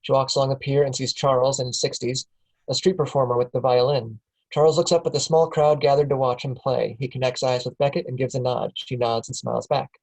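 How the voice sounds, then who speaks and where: A man reading the scene directions aloud in a steady, plain way at a film table read. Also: medium pitch, brisk, gravelly, poor audio.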